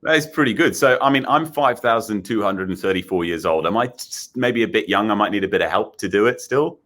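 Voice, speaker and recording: A man's voice: lowish voice, lively intonation, brisk, a bit bright. A man with an Australian accent hosting a children's show, interviewing in a casual, effusive and enthusiastic way. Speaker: host of a children's show, in character as a space captain.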